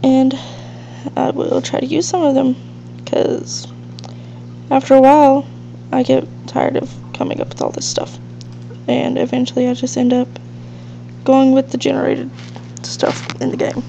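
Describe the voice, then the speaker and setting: A woman's voice: high-pitched, measured pace, gravelly, pauses often, warm tone. A woman with a nasal, stuffed-up allergy voice giving casual, rambling gameplay commentary as the host of a let's play. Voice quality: nasal, congested, sniffly. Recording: dull lo-fi mic with faint hiss and hum.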